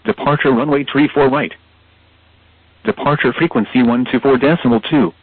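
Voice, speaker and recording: Guy reading airport info on the radio, rough husky voice, phone-like sound, dry. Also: medium pitch, moderate pace, no echo. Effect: aviation radio transmission. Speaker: airport information broadcast announcer. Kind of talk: formulaic read-out aeronautical bulletin. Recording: muffled narrowband radio broadcast.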